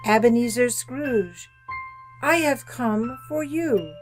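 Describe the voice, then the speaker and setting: Radio drama spirit: ageless, solemn, formal, higher register, slow deliberate pacing, quietly in command. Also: female voice, expressive, soft background music. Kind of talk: old-time radio drama acting.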